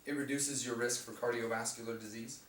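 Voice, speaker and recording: A young man with a raspy voice giving an informative class speech, reading from notes with fillers and restarts. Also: medium pitch, steady tone, a little fast, fairly bright tone. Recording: echoey room, mic far off, faint hum.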